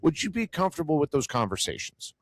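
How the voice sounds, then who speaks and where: A man with a self-described growly, loud voice, speaking earnestly in a casual faith conversation and often repeating words as he searches for them. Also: a little high, sing-song, quick pace, no echo.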